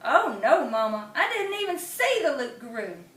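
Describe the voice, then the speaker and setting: A woman voicing a small boy possum who brags he is not scared, drawing out his words slowly, in an echoey room. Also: high-pitched, very animated, a bit bright. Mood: cheerful. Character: small timid but boastful boy possum. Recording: echoey room, mic at a distance.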